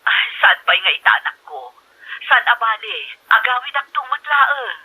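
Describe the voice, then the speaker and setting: A woman's voice: fairly high pitch, lively intonation, normal speed, bright, forceful tone, a little hiss or hum, rough recording. A woman with an Ilocano accent playing a distressed, ashamed pregnant mother in a melodramatic drama, speaking hesitantly and plaintively. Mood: evasive, uneasy. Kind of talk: amateur melodramatic drama acting.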